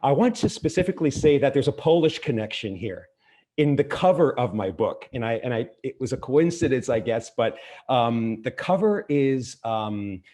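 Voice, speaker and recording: A man's voice: mid-range voice, animated, normal speed, warm tone. Hesitant man giving an online keynote. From Argentina. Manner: hesitant, many fillers and restarts. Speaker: keynote speaker. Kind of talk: online conference keynote talk. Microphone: video call.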